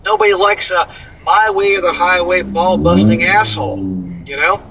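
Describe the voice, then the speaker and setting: A man's voice: high voice, moderate pace, gravelly, sharp tone, far from the mic, slight background noise, low-quality recording. A man co-hosting a casual reaction commentary, blunt and profane, scolding in plain terms. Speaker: commentary co-host reading an article.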